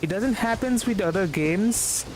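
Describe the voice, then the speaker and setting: A man's voice: high voice, lively intonation, measured pace, noisy background. A man giving casual, sleepy, rambling live commentary as he streams a game. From India.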